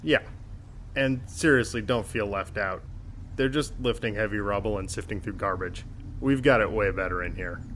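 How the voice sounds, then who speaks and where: Man playing an easygoing guard, lower-pitched. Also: moderate pace, a bit bright, slight background noise, close to an everyday voice. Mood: casual, placating. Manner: casual, reassuring. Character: easygoing young male guard, placating but firm. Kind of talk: audio drama acting.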